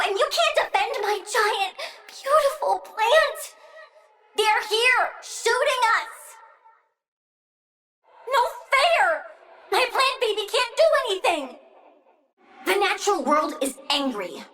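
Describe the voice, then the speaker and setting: A woman's voice: very high voice, lively intonation, slow pace, long pauses, fairly bright tone, full character voice. An actress playing a childlike plant-girl villain in a video game, girlish and playful, petulant, gleefully menacing and doting on her plants. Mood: excited, petulant. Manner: playful, petulant, swings from cooing to threats. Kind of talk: video game character voice lines.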